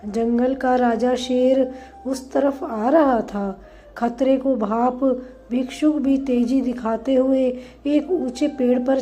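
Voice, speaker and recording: A woman narrating a scripted moral story in a measured, instructive way. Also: high voice, dark tone.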